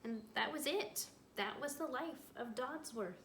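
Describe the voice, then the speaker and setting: A woman's voice: sits high, measured pace, a bit bright. A woman, a school librarian, reading a picture book aloud to children, telling it expressively with little asides to her listeners. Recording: roomy echo, clear background noise.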